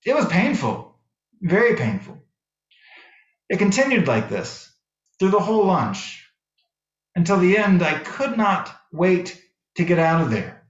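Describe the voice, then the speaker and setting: A middle-aged American man giving a sermon as a minister, telling personal stories deliberately, phrase by phrase. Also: high voice, very animated. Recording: roomy, echoey, slightly dull sound.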